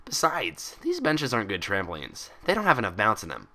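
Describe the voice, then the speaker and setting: A man's voice: lowish voice, wildly swooping pitch, a little fast, sharp tone, full character voice. A man voicing a hyper, cheerful, gullible young female cartoon pony in bubbly, rambling, childlike chatter.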